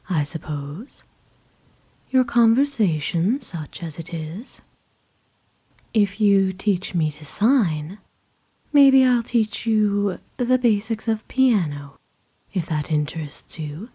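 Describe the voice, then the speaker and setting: Woman playing doting noble female vampire, ASMR roleplay, hushed mellow whisper, slow pace, formal, tender, gently possessive. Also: mid-range voice, no room sound, heavily acted. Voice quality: soft, breathy whisper. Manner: formal, genteel, tender, unhurried.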